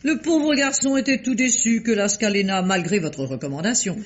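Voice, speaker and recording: A man's voice: high-pitched, normal speed, lightly acted. An actor playing a chatty, teasing society man in an old radio drama. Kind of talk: 1950s French radio drama acting. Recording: muffled old radio recording.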